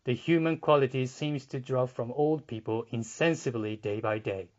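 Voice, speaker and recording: A man reading literary fiction as an audiobook narrator, in a measured, deliberate, dramatic style. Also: medium pitch, highs cut off. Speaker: audiobook reader.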